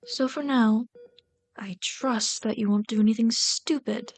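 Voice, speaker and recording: A woman's voice: fairly high pitch, unhurried. Woman acting as a bitter, scolding villain queen. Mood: firm, clinical. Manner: scolding, sardonic, exasperated, dropping to hushed asides. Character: bitter, caring female villain rat queen tending a captive sidekick. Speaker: voice actor in an audio roleplay. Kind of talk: ASMR roleplay acting.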